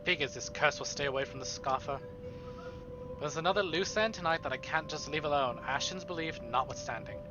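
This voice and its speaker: A male streamer reading visual novel narration aloud in an expressive, dramatic way, with casual asides. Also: on the high side, fairly quick, lo-fi sound. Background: game music.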